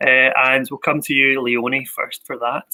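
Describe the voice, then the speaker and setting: A man's voice: mid-range voice, flat delivery, moderate pace, bright, forceful tone. A man from the Glasgow area hosting a live university Q&A, upbeat and enthusiastic with frequent fillers. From Glasgow area, Scotland. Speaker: host of a university Q&A.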